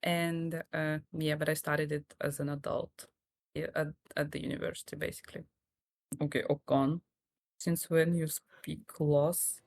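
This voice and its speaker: Low, creaky Russian-accented woman. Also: level tone, a little slow, a little mellow, no echo. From Russian Karelia. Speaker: podcast guest, language tutor. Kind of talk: casual, hesitant conversational talk.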